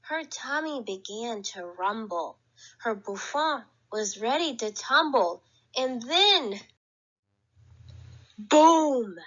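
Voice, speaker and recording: A woman's voice: fairly high pitch, lively intonation, takes it slowly, long pauses, fairly bright tone, highs cut off. A woman reading a picture book aloud to children at story time, playfully acting out sounds and exclamations. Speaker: library storyteller.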